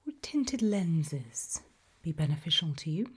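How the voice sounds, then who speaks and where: A woman performs an ASMR roleplay in a very breathy, soft whisper. Her voice sits low, and she speaks politely and formally, like a tailor consulting a client with careful, measured questions. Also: big pitch swings.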